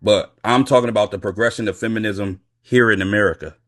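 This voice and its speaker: A man's voice: on the low side, fairly even intonation, quick pace, a bit bright. A man on a live panel, arguing firmly and restating his earlier points. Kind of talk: casual live-stream debate.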